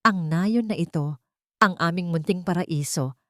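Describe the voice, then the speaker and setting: Give the story to a woman with a fairly low voice in a dry recording. She reads it as scripted, dramatic first-person narration, as the storyteller of an audio drama. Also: measured pace, fairly bright tone, no room sound.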